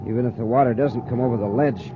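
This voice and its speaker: An actor playing a plucky injured spaceship pilot, wry and plain-spoken, in 1950s radio adventure style. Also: male voice, medium pitch, fast, natural voice. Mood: resigned. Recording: dull, noisy old radio recording.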